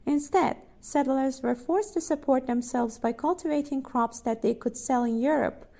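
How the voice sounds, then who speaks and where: A woman's voice: high-pitched, moderate pace, creaky, mellow. A woman reading short educational passages aloud as scripted narration. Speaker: pronunciation practice narrator.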